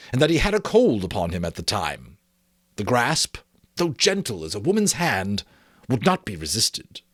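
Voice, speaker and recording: A man narrating a storybook in a theatrical, expressive way. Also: mid-range voice, no room sound, clean studio sound. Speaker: amateur audiobook narrator. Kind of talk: dramatic storybook narration with casual asides.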